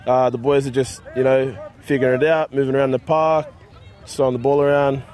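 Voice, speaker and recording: A man's voice: mid-range voice, level tone, brisk, darkish tone. A man from Sydney, a footy player, answering an interview in an upbeat, straightforward way. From Sydney, Australia. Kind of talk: vox pop interview.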